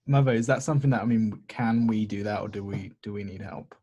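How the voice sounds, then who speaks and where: A man's voice: on the low side, a little fast, mellow, husky, lo-fi sound. A man playing a tabletop game, discussing plans casually and often posing questions.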